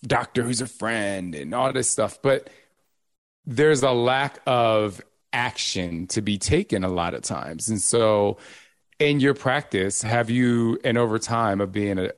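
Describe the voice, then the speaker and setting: A man hosting an interview, asking long, thoughtful questions. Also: fairly low pitch. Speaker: host.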